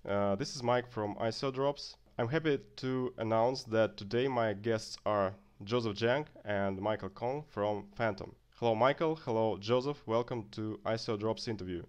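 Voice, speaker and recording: A man's voice: on the low side, normal speed, few pauses, smooth. A man hosting an interview, introducing guests and putting questions to them. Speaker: interview host.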